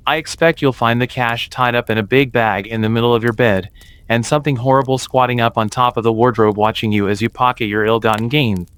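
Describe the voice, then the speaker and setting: Teasing grown son acted by a man, clear-voiced. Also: medium pitch, fairly even intonation, brisk, fairly bright tone, close to an everyday voice. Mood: mocking. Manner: playfully mocking. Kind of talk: dramatised audio story acting.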